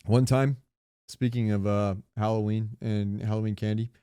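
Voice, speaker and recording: Clear-voiced man in dry, close casual chat. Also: lowish voice, steady tone, normal speed, warm tone, no room sound. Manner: casual, profane, joking slangy talk. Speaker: podcast co-host. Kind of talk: casual chat, comic storytelling.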